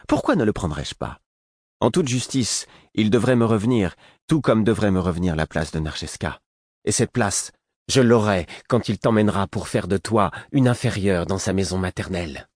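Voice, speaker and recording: A man's voice: fairly low pitch, sing-song, normal speed, a little mellow, no echo, clean studio sound, voice pushed up. A man voicing a brash young woman, a malicious rival with a sly, taunting manner, in an audiobook story. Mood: malicious, triumphant.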